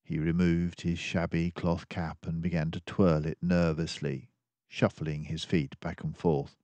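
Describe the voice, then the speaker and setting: An older man reading a children's book aloud in an expressive narrating style. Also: low voice, a little slow, no echo. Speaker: storybook reader.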